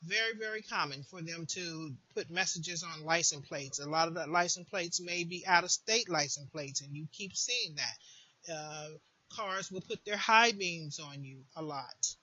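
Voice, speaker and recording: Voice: medium pitch, unhurried, pushed and bright, muffled recording. A person giving an informal, earnest advice monologue, repeating phrases for emphasis, as a support group host would.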